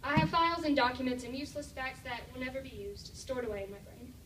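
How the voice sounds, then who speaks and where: A teenage girl performs spoken-word poetry with a high voice and a deliberate, theatrical delivery. She is heard from some distance in a very echoey room, with faint noise underneath. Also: fairly even intonation, fairly bright tone. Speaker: slam poet.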